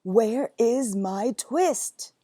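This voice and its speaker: A woman's voice: fairly high pitch, drawn-out words, dark tone, no room sound, studio quality. A woman reading aloud, voicing an anxious old male tailor who laments in old-fashioned speech. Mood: pleading. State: feverish in parts.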